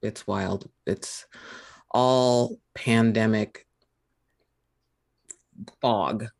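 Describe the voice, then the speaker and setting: Thoughtful californian, law background, telling family stories in a relaxed chat. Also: medium pitch, drawn-out words, vocal fry. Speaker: lawyer, podcast guest. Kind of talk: casual conversation.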